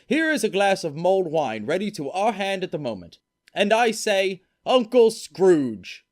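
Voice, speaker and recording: A man's voice: high voice, big pitch swings, unhurried, voice pushed up. A man voicing a jovial young man who speaks with a hearty, lilting tone. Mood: merry. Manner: lilting, toasting tone.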